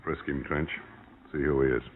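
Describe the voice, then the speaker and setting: An actor playing a big, menacing crime boss, curt and commanding, in an old radio crime drama. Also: male voice, rumbling low, fairly even intonation, normal speed. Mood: cold, commanding. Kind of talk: 1940s-50s radio drama acting. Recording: very noisy, muffled old radio recording.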